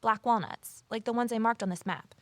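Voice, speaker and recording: An actress playing an eager, easily flustered rookie conservation officer and amateur sleuth in an audio drama, earnest and inquisitive. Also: female voice, a little high, fairly quick, fairly bright tone, no echo, lightly acted. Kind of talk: naturalistic audio drama acting with first-person narration.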